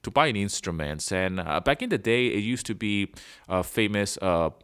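A man's voice: fairly low pitch, lively intonation, moderate pace, fairly bright tone, clear, resonant tone. A man in his forties from Venezuela, hosting a casual solo podcast. He tells his story in a rambling way and often checks in with the listener. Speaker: podcast host.

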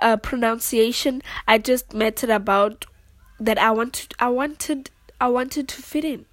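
A woman's voice: on the high side, no echo. A young woman from South Africa telling her personal story to the camera in an earnest, rambling way, often checking in with her listener. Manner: earnest, rambling, with frequent "you know what I'm saying" asides. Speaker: vlogger.

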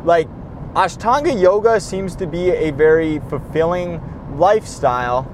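A young man talks through a solo podcast from a moving car, high in pitch and worn out, his voice fraying. He rambles, restarts his sentences and swears casually. Road noise hums clearly underneath. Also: measured pace. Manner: tired, profane, rambling with many restarts. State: tired and losing his voice. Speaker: podcast host.

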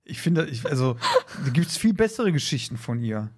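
A man's voice: mid-range voice, very animated, normal speed, darkish tone, husky. A man co-hosting a podcast, giving his opinions in blunt, slangy casual chat. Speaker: podcast co-host.

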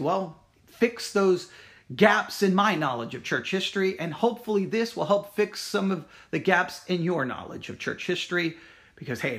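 A man giving an informal spoken announcement as a church podcast host, talking in an emphatic, halting way. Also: high-pitched, bright, forceful tone.

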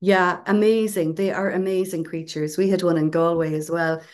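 A woman's voice: lowish voice, fairly even intonation, normal speed, darkish tone. A woman co-hosting a podcast, explaining ideas in a conversational casual chat with asides. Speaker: podcast co-host.

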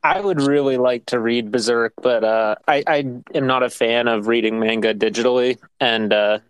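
Smooth-voiced American man hosting. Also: mid-range voice, steady tone, moderate pace, dry recording, rough recording. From United States. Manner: easygoing, curious questions. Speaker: podcast host. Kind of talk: casual interview.

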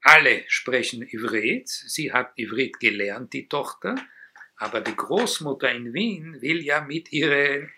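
An older man from Israel, a native Hebrew speaker who is retired and teaches Hebrew, talking in a relaxed, hesitant way as a guest in an interview. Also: medium pitch, slightly rough. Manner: slow, thoughtful, with frequent hesitations. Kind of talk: casual interview talk.

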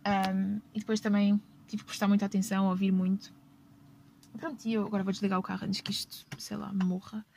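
A woman's voice: medium pitch, level tone, fairly quick, vocal fry, darkish tone, a little hiss or hum. A young woman with a European Portuguese accent, hosting a podcast and chatting casually from a parked car, laughing often and correcting herself as she goes. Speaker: podcast host. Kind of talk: casual personal storytelling.

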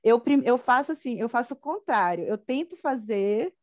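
A woman's voice: on the high side, animated, normal speed, no echo, studio quality. A middle-aged woman from Bahia with a Brazilian accent, chatting casually as an interview guest. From Salvador, Bahia, Brazil. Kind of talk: casual chat.

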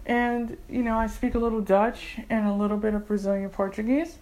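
A woman's voice: medium pitch, fairly even intonation, fairly quick, a little mellow, low-quality recording. A woman in her forties, a community English tutor, speaking earnestly and enthusiastically in a casual personal testimonial. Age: 40s.

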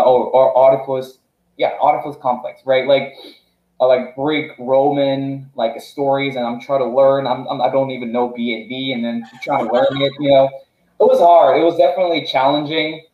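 A young startup founder, originally from South Korea, talks through his story in a casual podcast chat. He stumbles, repeats words and leans on fillers as he goes. The sound is a bit dull and carries the echo of a room. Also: mid-range voice. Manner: halting, many restarts and fillers.

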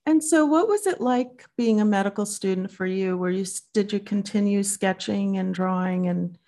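Woman interviewer with a gravelly edge, encouraging, lots of "mm-hmm". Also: medium pitch, normal speed, mellow. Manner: encouraging, frequent backchannel. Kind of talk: conversational interview.

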